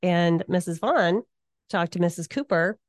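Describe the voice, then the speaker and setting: Lower-voiced woman co-hosting, close dry sound. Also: unhurried, no room sound. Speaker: podcast co-host, school staff interviewer. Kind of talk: conversational interview.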